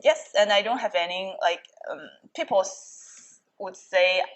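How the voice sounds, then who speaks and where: A young woman from China, a native Chinese speaker, chatting as a guest in a soft, hesitant way with frequent restarts. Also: medium pitch, a little slow, muffled recording. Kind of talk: casual conversation over a meal.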